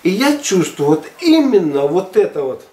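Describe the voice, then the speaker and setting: A man in his sixties talking casually to camera in a halting, emphatic, colloquial way. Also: high-pitched, sing-song, dark tone. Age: 60s. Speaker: health and fitness vlogger. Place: furnished living room at home, indoors. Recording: echoey room, camera at a distance.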